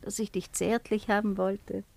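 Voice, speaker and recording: A woman's voice: medium pitch, little pitch movement, normal speed, gravelly, a little mellow, no room sound. An actress playing a teasing, evasive woman who meets a former lover in a radio play, speaking in a coquettish, lightly mocking way. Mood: coaxing, mocking. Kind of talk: German radio play acting.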